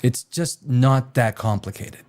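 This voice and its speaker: A man's voice: mid-range voice, a little slow, a little mellow. A man from the Czech Republic, hosting a solo livestream, rambling from one tangent to the next with stammered restarts and swearing. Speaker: livestream host.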